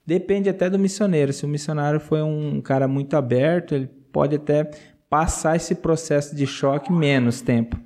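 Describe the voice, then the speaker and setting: Missionary man, mellow and earnest, laughs easily, repeats himself. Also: a little high, normal speed. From Brazil. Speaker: missionary guest. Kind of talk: casual interview testimony.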